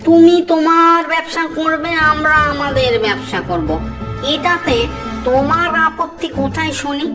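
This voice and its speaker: A woman's voice: sits high, moderate pace, close to an everyday voice. A woman voicing an eager, stubborn young village boy in a Bengali moral-story cartoon, polite and persuasive. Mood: insistent. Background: music.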